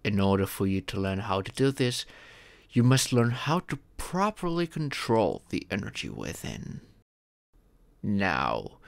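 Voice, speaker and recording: A man playing a fatherly dragon mentor in an ASMR fantasy roleplay, patiently telling stories and teaching magic in a gentle, measured, instructive way. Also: on the low side, vocal fry, full character voice. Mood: gentle instructing. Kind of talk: ASMR audio roleplay, scripted fantasy storytelling.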